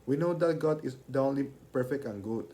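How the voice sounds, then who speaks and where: A young man speaks as a student presenting a prepared speech to a class. His voice sits at an average male pitch with a mellow, relaxed tone. The delivery is formal and explanatory, read from a written text. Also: fairly quick.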